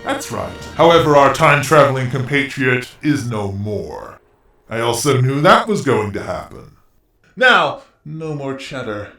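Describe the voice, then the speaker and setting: A man's voice: medium pitch, big pitch swings, measured pace, bright, forceful tone, heavily acted. A man acting an arrogant, menacing robot hedgehog villain in a fan audio drama, grandiose and commanding. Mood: cold, menacing. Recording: echoey, music underneath.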